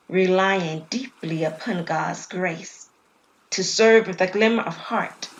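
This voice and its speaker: A woman voicing a gentle, reserved young man, a shy suitor in an audio drama. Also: fairly low pitch, low-quality recording. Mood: quiet reading.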